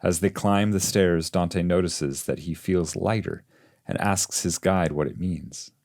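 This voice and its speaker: Deep-voiced man reciting epic poetry. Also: creaky, a little mellow, no room sound, clean studio sound. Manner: measured, solemn recitation. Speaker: translator and reader of a classic poem. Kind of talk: literary audiobook narration of poetry.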